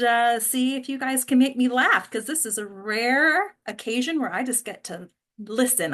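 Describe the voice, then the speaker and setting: Female host, higher register, casually running an online read-through. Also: a bit bright. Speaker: host and director of a table read. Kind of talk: casual instructions to a group on a video call. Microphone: video call microphone.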